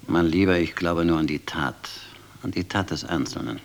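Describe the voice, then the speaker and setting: An actor playing a ruthless intelligence colonel in a German radio drama, brusque, commanding and cynically mocking. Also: male voice, low-pitched, normal speed, vocal fry. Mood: fanatical, cynical. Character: ruthless, scornful intelligence colonel, fanatical conspirator.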